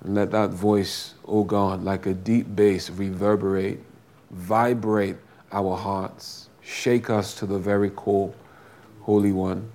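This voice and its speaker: A man with a big booming voice preaching a sermon and praying fervently, drawing out his phrases and repeating them for emphasis. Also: warm tone. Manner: slow, drawn-out, fervent delivery with repeated phrases. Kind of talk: sermon with prayer.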